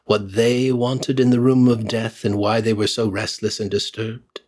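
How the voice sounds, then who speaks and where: A man narrating a Victorian story as an audiobook reader, theatrical and solemn, with deliberate phrasing. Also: fairly low pitch, little pitch movement, a little mellow. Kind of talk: dramatic scripted narration of Victorian fiction.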